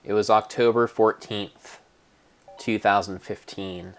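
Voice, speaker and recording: A man with a fairly low voice narrates like a storyteller reading an adventure tale aloud. His delivery is deliberate and theatrical, with a mock-solemn weight on the phrases. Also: steady tone, poor audio. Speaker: narrator of a game playthrough. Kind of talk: dramatic storybook-style narration.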